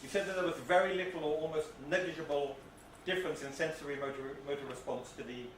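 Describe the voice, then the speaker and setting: A man with a booming voice asking a question at an academic symposium, politely self-deprecating and a little halting. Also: steady tone. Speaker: audience member asking a question. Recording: echoey, noisy hall from far off.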